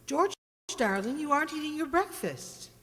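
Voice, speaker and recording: An actress playing a chirpy, coaxing young wife in an old-time radio comedy. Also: female voice, high voice, lively intonation, normal speed, fairly bright tone, slight background noise. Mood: concerned. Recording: echoey hall, long reverb.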